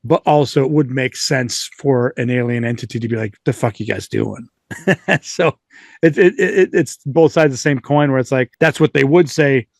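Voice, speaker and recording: A man's voice: mid-range voice, moderate pace, gravelly, no echo, studio quality. A man chatting informally as a podcast host, retelling and reading out accounts, often wandering into asides, with some light swearing.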